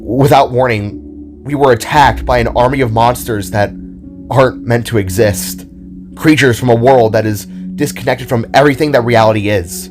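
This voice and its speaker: A man voicing a sorrowful last-surviving metal warrior in a story, with a halting, hesitant delivery. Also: mid-range voice, close to an everyday voice. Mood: grave. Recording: background music behind the voice.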